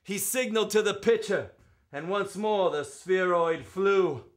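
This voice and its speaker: A man performing as a poetry reader, with a theatrical, emphatic storytelling delivery and drawn-out words. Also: high voice. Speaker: performer reading poetry aloud. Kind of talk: dramatic poetry recitation with spoken introduction.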